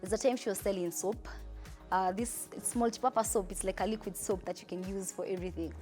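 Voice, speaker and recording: A young Kenyan woman with a Kenyan accent, telling her life story in a chatty, animated interview. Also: medium pitch, fairly quick, soft background music. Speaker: entrepreneur.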